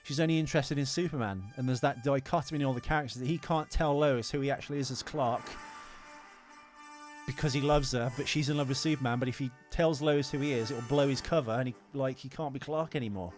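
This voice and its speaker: A man's voice: mid-range voice, fast, faint music behind. A man co-hosting a podcast in casual, opinionated film chat, ranting emphatically and swearing freely. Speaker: podcast co-host.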